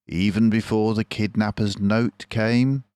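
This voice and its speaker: An older man reading a children's storybook aloud as narrator, in a measured, deliberate manner. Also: lowish voice, fairly even intonation, darkish tone, smooth, no echo.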